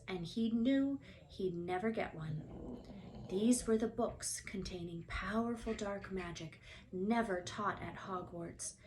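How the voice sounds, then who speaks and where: A woman reading a children's book aloud in an expressive storytelling style. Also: medium pitch, slight background noise, poor audio.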